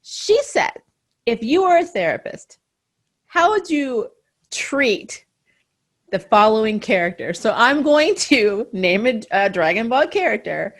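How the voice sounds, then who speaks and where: A woman's voice: fairly high pitch, big pitch swings, a little slow. A middle-aged woman hosting a casual, chatty interview. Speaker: interview host. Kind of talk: casual chat.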